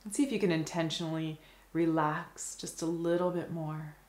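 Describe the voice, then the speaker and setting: A woman with a low-pitched voice leads a guided meditation. Her delivery is very even and gentle, with soothing phrases set apart by long pauses. The sound is roomy, with noticeable reverberation around the voice.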